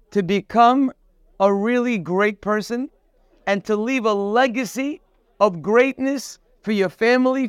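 High-voiced man giving an emphatic Torah class. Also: smooth, dry recording, studio quality. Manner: emphatic, preachy, quotes Hebrew sources then translates; addresses listener directly, repeating "you". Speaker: Torah teacher.

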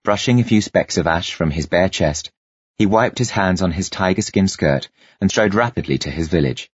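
A man narrating a fantasy novel in a measured storytelling delivery. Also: low-pitched, expressive, slightly rough, no echo, muffled recording. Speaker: audiobook narrator.

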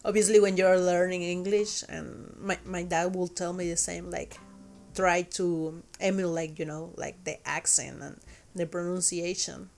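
A woman's voice: on the low side, fairly even intonation, unhurried, creaky, a little mellow, faint music behind. Young Mexican woman hosting a chat. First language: Spanish. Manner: hesitant, frequent fillers and restarts. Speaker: podcast host. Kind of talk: casual chat.

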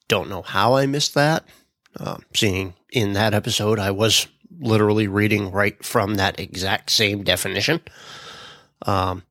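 A man's voice: lowish voice, no echo, clean studio sound. A man hosting a solo podcast, a little stuffed up from a cold, talking casually with frequent ums while reading out meeting notes. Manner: relaxed, many ums and uhs, reads out minutes. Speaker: podcast host.

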